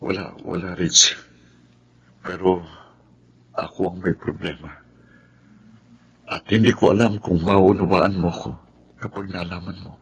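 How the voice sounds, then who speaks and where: An actor playing a troubled young Filipino lawyer in a radio drama, hesitant and confessional. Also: male voice, low-pitched, fairly even intonation, unhurried, pauses often, slightly rough, exaggerated voice. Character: troubled, soft-spoken young male lawyer with a secret. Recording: music behind the voice.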